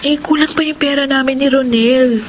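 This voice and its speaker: A woman's voice: high voice, steady tone, moderate pace, a little hiss or hum, natural voice. An actress playing a worried, persuasive young wife and mother in a radio drama, pleading and insistent. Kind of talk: Tagalog radio drama acting.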